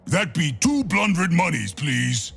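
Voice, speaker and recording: Game brute, self-styled doctor: dim, crazed, booming, broken grammar. Husky and slow, over music. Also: male voice, very animated, fairly bright tone, heavily acted. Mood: mock-professional. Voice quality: gravelly, raspy. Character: big crazed dim-witted brute who believes he is a doctor. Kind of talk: video game character voice lines.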